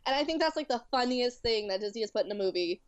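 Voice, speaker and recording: Young woman, from Louisiana, chatty, lots of filler words, a bit high, slightly dull dry sound. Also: lively intonation, fast, no room sound. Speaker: guest cosplayer. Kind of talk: casual chat.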